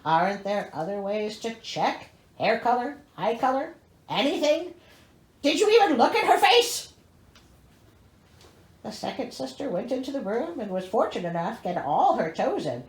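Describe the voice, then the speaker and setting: A woman's voice: on the high side, very animated, normal speed, roomy sound. A woman reading a storybook aloud as host, theatrical and wry, breaking in with exasperated, sarcastic asides.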